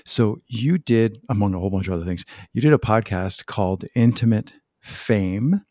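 Male interviewer, lowish voice, informal and hedging, recorded very dry. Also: moderate pace, dark tone, no room sound. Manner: informal, hedging, thinks aloud. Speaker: podcast host. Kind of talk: casual interview chat.

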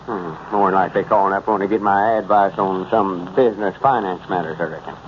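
A man's voice: low voice, fairly even intonation, brisk, lightly acted. An actor playing a self-important, easily indignant old country man with a rural Southern accent, folksy and pompous, in a 1930s radio comedy. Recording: muffled, noisy 1930s radio recording.

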